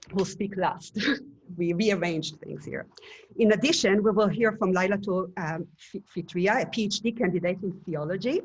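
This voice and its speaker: A woman moderating an academic panel, reading prepared remarks in a measured way with hesitant restarts. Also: mid-range voice, breathy edge, poor audio.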